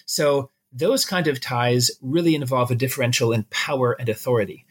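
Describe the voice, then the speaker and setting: A man's voice: mid-range voice, normal speed, breathy edge. A middle-aged man with an American accent, explaining things conversationally with everyday examples as an expert interview guest.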